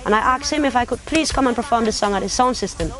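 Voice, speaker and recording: A woman's voice: on the high side, fast, fairly bright tone. A young woman from Denmark speaks in a talkative, casual way, her English mixed with patois turns of phrase. She sounds like a competition finalist telling her own story. Faint music plays behind her. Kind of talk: casual interview.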